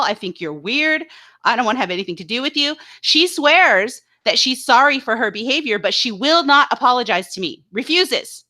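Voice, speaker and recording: Woman going off on someone, bright forceful voice, kinda high, keeps saying ew. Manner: indignant, scornful, repeats herself. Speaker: co-host. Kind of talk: heated casual talk show rant.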